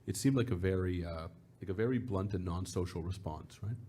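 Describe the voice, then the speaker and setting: A man moderating a talk and asking quick, loose questions. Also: low-pitched, dark tone, slightly rough. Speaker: event moderator. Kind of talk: moderated conversation.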